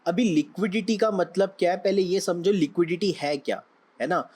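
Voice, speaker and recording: A young man teaching a trading lesson, his voice sitting a little high for a man. He sounds slightly hoarse. He talks in a loose, conversational way and keeps checking that his listeners follow. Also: normal speed, darkish tone. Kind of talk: explaining lesson with analogies.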